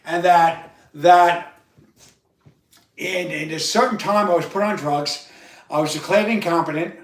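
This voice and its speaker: A man with a loud voice hosting a livestream, telling a rambling personal story with halting restarts and repeated words. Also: on the high side. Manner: repetitive, halting, many restarts. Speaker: livestream host. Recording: roomy sound, far from the mic.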